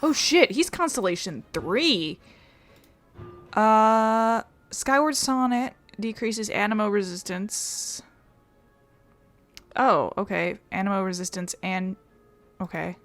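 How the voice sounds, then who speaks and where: A woman's voice: medium pitch, takes it slowly, pauses often, a bit bright, faint music behind. A woman giving casual gameplay commentary, offhand and sweary, thinking aloud as she plays. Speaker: let's play host.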